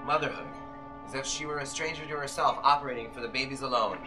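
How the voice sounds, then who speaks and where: A man's voice: medium pitch, quick pace. A man in his thirties giving an intense, theatrical live reading of a novel as its narrator. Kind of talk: dramatic narration, radio-play style live reading. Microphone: audience camera a few meters away. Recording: live recording with loud music over it. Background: loud violin accompaniment.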